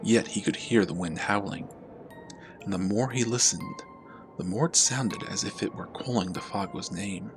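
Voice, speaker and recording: Low-voiced man narrating a horror story. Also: moderate pace, music in the background. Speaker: storyteller, channel host.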